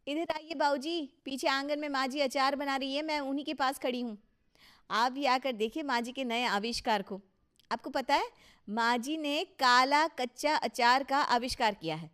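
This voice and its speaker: A woman's voice: high-pitched, moderate pace, a bit bright, voice pushed up. A woman playing a cheeky young daughter-in-law in a Hindi cartoon story, polite but teasing and questioning.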